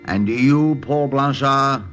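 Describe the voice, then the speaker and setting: Solemn wedding minister. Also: male voice, medium pitch, a bit bright. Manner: formal, measured intoning. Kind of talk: 1950s radio drama acting.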